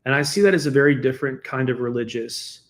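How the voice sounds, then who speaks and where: A man's voice: medium pitch, fast talker. A man from the United States giving a defensive, argumentative solo commentary, talking casually and laughing between points. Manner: conversational with fillers and restarts. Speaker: political commentator.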